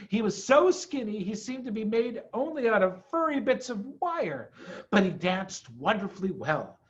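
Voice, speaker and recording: A man reads a children's story with an expressive, widely swinging voice. He goes slowly and leaves dramatic pauses. The recording sounds slightly dull. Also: high voice. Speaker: head of school reading aloud. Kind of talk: animated children's storybook reading.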